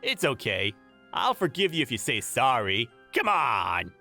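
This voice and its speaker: A man's voice: medium pitch, big pitch swings, a little slow, gravelly, pushed and bright, faint music behind, full character voice. An actor playing a smug, condescending male boss who bullies and mocks, in a manga dub. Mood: smug, menacing. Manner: mocking, condescending. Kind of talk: anime-style manga dub acting.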